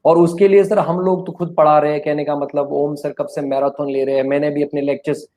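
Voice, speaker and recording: A man's voice: on the high side, flat delivery, a little fast, dark tone. A man who teaches exam courses, talking casually and with passion, appealing on behalf of students. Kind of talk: casual chat.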